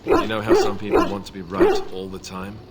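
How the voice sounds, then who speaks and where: An actor playing a young, self-deprecating, uneasy warrior companion in a fantasy video game, with a wry, conversational manner. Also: male voice, high voice, huge pitch swings, fairly quick, vocal fry, very noisy, exaggerated voice.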